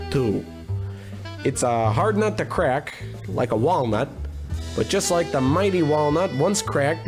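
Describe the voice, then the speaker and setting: Guy doing a deadpan noir detective, kinda high voice, blustery, old radio play w music under it. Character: bumbling, self-important hard-boiled private detective, adult man. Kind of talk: comic 1940s radio noir narration and dialogue.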